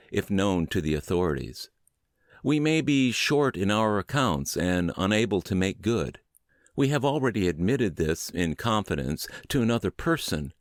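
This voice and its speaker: A man with a low, deep voice narrates a book for a podcast. His intonation moves up and down in a lively way, and he sets out each phrase deliberately with clear pauses. The sound is very dry, with no room around the voice. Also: no echo. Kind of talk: audiobook reading of a book, scripted narration.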